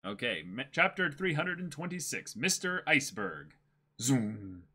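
A man in his twenties reads aloud and chats casually. His voice is bright and slightly husky, and his pitch swings widely as he talks. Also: sing-song, a little slow. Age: 20s. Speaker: co-reader in a dramatic manga reading. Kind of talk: casual commentary and read-aloud. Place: small indoor room, seated.

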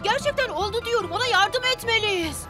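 Extremely high little-kid voice, sincere loyal bus, children's cartoon, music underneath. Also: female voice, fairly quick. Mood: urgent. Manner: hesitant, earnest. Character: earnest, loyal, kind young child bus. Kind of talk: dubbed children's cartoon acting.

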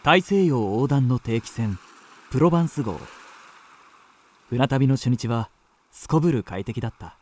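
A man playing a suave, well-bred young gentleman traveller in a radio drama, measured, polished and courteous. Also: mid-range voice, expressive. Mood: composed narration. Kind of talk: radio drama narration and dialogue.

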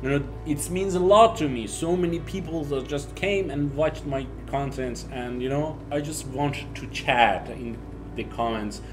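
A young man from Iran, a native Persian speaker, chatting casually to camera in halting, Persian-accented English as a YouTube host. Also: fairly high pitch, over music. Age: young adult. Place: small home office, indoors.

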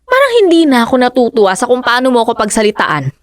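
A woman's voice: on the high side, very animated, fast, dry recording, clean studio sound. An actress playing a practical, determined fisherman's wife and mother of four, who argues her point insistently in a radio drama.

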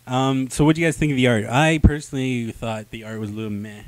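A man's voice: mid-range voice, fairly even intonation, fast talker, no room sound. Young man with a dry, close sound. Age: young adult. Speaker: podcast host. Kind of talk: casual comic book review chat. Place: small room, indoors. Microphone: handheld microphone.

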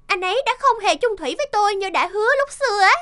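A woman's voice: very high-pitched, animated, fast talker, a bit bright. A woman playing a distraught, melodramatic wife in a comic cartoon, whining and exaggerating. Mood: aggrieved. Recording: children's music behind the voice.

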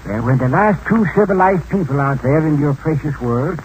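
An actor in 1940s radio acting style playing a mysterious, wise old hermit who talks in a folksy, drawling, philosophical way. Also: male voice, on the high side, expressive, normal speed, natural voice, rough recording. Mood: musing. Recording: old radio broadcast with faint hiss.

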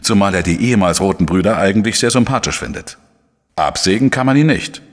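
A male actor playing a shrewd, dry investigative journalist who talks in a measured, explanatory way in a crime radio play. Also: fairly low pitch, animated, big-room sound, close to an everyday voice. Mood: measured reasoning. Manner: measured, explanatory, matter-of-fact. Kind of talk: German crime radio play, narration and dialogue.

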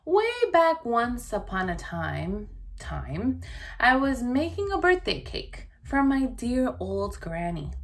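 Storybook wolf, read by a woman: highish, very lively pitch, chatty and mock-innocent, defending himself. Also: full character voice. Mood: defensive, chatty. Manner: conversational, dramatic, drawn-out emphasis. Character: self-justifying wolf telling his side, folksy and mock-innocent. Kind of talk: expressive children's picture-book read-aloud.

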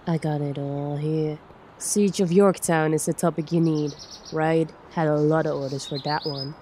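A woman's voice: deep voice, steady tone, moderate pace, mellow, a little hiss or hum, close to an everyday voice. A woman playing a self-assured, slangy teenage gang member in a comic dub. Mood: smug, relaxed. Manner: slangy, cocky. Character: smooth, self-assured teenage gang forger, proud of the work.

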